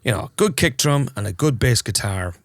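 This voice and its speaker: A man's voice: medium pitch, lively intonation, a little fast, dry recording, studio quality. An Irish man, a wedding band musician, chatting casually and colloquially as an interview guest, often acting out bits of imagined conversation. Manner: colloquial, acts out imagined exchanges with clients. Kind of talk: casual chat.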